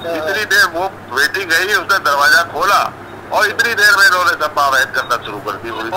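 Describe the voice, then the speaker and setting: A man's voice: fairly high pitch, lively intonation, normal speed, bright, forceful tone. Complaining older man, noisy call recording. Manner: complaining, exasperated. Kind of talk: aggrieved talk in a recorded call. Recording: noisy played-back phone call recording.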